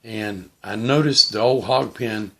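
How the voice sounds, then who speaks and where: A middle-aged man with a lowish, gravelly voice that has a firm, bright edge. He talks like a hobbyist showing beginners the ropes on his vlog. His delivery is plain-spoken, unhurried and casual. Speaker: vlogger, shortwave radio hobbyist. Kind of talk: casual explanatory demonstration.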